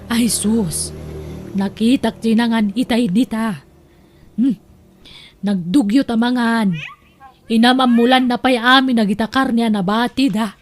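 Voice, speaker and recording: A woman's voice: a little high, measured pace, pauses often. A woman with an Ilocano accent playing a stingy, falsely generous aunt in an audio drama, breezy and exclamatory. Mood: complaining.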